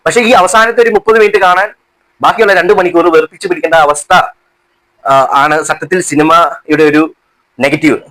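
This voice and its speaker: A man giving a casual film review to camera, halting at times and dropping in English words. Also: a little high, fairly bright tone, poor audio. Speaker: movie reviewer.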